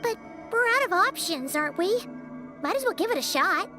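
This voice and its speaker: Super high childlike fairy sidekick for a game, perky, bouncy pitch, music behind. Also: female voice, big pitch swings, normal speed. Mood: eager, insistent. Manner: perky, exclamatory. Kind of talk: English video game voice acting.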